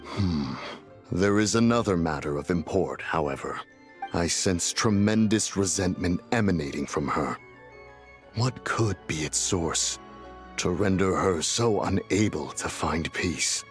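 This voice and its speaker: A man voicing a grave, measured immortal sage in a video game, formal and deliberate. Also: sits low, lively intonation, soft background music. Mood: grave, pondering. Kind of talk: anime-style video game voice acting.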